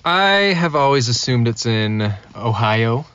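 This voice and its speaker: A man's voice: mid-range voice, lively intonation, slow, fairly bright tone, clear, resonant tone. A man chatting casually as a guest on a podcast. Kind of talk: casual chat. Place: inside a car. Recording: dull lo-fi, faint noise.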